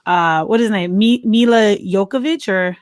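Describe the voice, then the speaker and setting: A woman's voice: mid-range voice, normal speed, no echo, studio quality. A woman co-hosting a casual film review chat, talking in an informal, slangy, conversational way. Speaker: podcast co-host.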